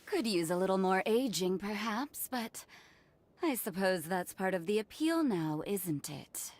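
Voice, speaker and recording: A woman's voice: medium pitch, animated, unhurried, a little mellow. An actress playing a haughty, playful and predatory noblewoman in a video game, teasing in an elegant, theatrical way. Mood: teasing, amused. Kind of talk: video game cutscene acting.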